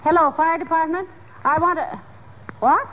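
A woman playing a brisk, wry small-town wife in a 1940s radio comedy. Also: very high voice. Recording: tinny, noisy old radio with audience.

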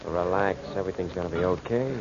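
An actor playing a calm, curt tough-guy pal in an old radio drama, terse and dismissive. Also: low voice, fast. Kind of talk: 1940s radio acting. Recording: dull old radio recording, crowd chatter behind.

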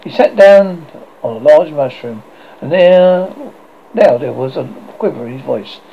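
A man reads a storybook aloud in an unpolished way, stumbling and restarting over words. His voice is gravelly and lively in pitch. The recording is dull and lo-fi with a faint hiss. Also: a little slow. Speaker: audiobook reader.

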